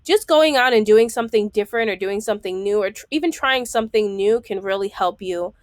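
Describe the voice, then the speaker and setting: A woman's voice: mid-range voice, fairly quick, dry recording. Woman reading a children's storybook aloud, dry sound. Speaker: children's book reader. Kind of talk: storybook read-aloud with explanatory asides.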